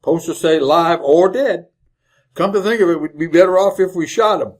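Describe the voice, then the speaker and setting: An actor playing a greedy, folksy wagon driver in an old-time radio western, talking in blunt rustic cowboy speech. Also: male voice, high voice, normal speed, a little mellow. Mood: scheming, eager. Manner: drawling rustic cowboy grammar, blunt, jovially callous.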